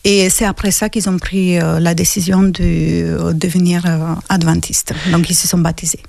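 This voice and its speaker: A woman's voice: on the low side, little pitch movement, gravelly, darkish tone, no echo. Hesitant Romanian-accented woman. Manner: hesitant, many pauses and fillers. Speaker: guest. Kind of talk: interview conversation.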